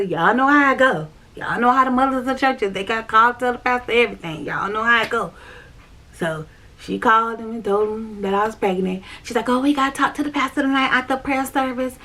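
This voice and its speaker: A woman's voice: medium pitch, fairly bright tone. A woman chatting on her vlog, telling a personal church story. She repeats herself and talks straight to her viewers. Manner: chatty, repetitive, addresses viewers directly. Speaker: vlogger.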